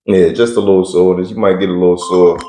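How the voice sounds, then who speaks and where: Young dude with a deep voice, laid-back, laughing a lot, kinda echoey room. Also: male voice, level tone, fast, warm tone, soft background music. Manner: laid-back, laughs often, blunt slang. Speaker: interview guest. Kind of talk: casual interview answers.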